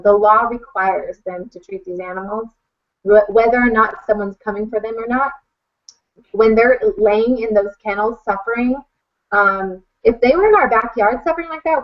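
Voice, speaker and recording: A woman speaking emphatically and frankly as a podcast guest, giving a casual personal account. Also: a little high. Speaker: animal rescue advocate, podcast guest. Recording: dull lo-fi podcast recording.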